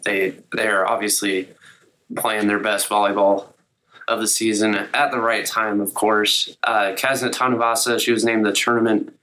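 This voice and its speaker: A young man co-hosts a sports podcast, his voice still slightly raspy as he recovers. He talks in a fairly low, monotone register and keeps it conversational, with many fillers. The delivery is laid-back and steady. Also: poor audio. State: slightly raspy after illness. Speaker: co-host of a college sports podcast. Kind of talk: casual sports chat.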